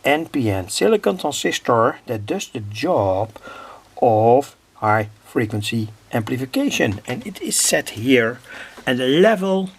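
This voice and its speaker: A Dutch man with a husky, creaky voice gives an unscripted hands-on demonstration in accented English. He speaks very slowly and deliberately, halting in mid-sentence as he goes. His pitch sits a little high for a man. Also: very animated. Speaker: electronics hobbyist presenter. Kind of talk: unscripted demonstration of a homemade device.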